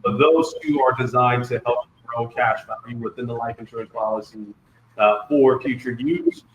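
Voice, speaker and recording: A man's voice: mid-range voice, sing-song, normal speed. A man in his thirties speaking as an interview guest, answering conversationally and often repeating words as he goes. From Liberia, grew up in Ohio. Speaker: guest, financial services wholesaler. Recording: roomy, muffled, noisy sound.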